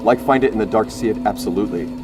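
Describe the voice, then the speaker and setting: A man acting a steady, laconic male security operative in an audio drama, clipped and businesslike. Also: lowish voice, racing, natural voice. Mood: focused, clipped. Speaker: supporting character, team member. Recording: distant voice, faint music behind.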